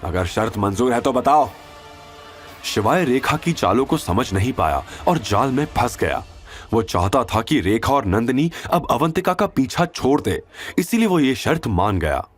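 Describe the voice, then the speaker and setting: A man narrating a scripted audio drama in an expressive storytelling manner. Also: medium pitch, moderate pace, soft background music. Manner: expressive storytelling, does all characters.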